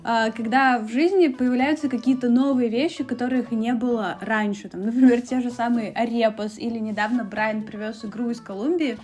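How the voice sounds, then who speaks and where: A woman's voice: on the high side, fairly even intonation, brisk, few pauses, darkish tone. A young woman from Russia talking in a chatty, casual vlog style, with fillers and asides. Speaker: beauty vlogger.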